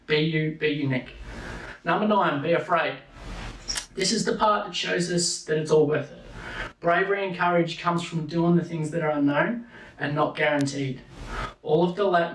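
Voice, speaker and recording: A man delivering a motivational talk, counting off numbered points slowly and deliberately. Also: fairly high pitch, a bit bright. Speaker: speaker. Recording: echoey room from a distance.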